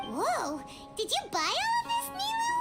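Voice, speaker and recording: Squeaky, excitable little fairy companion. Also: female voice, normal speed, loud music behind the voice, full character voice. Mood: amazed. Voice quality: squeaky, childlike. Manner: refers to self in third person, exclamatory. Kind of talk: video game voice acting.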